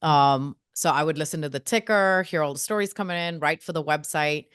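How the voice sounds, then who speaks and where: A woman from India, a guest on a marketing interview, telling her career story in a lively, self-mocking way. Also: deep voice, fairly quick, smooth, no echo, studio quality. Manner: lively, self-mocking, quotes people in voices. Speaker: guest, marketing agency co-founder.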